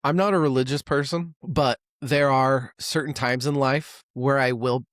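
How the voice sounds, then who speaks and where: A man's voice: mid-range voice, fairly even intonation, moderate pace, a bit bright, no echo. Man, podcast co-host, joking and profane, casual chat, dry sound.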